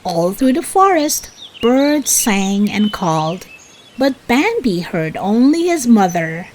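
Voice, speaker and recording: A woman narrating a children's read-aloud story in a deliberate, expressive storytelling manner for young listeners. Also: fairly high pitch, a little hiss or hum.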